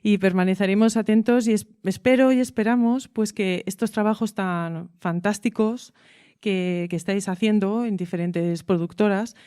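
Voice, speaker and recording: Woman, Spain accent, mellow tone, crisp and resonant, casual interviewer. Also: mid-range voice, fairly even intonation, normal speed, no echo, studio quality. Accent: Spanish (Spain). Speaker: interviewer, teacher.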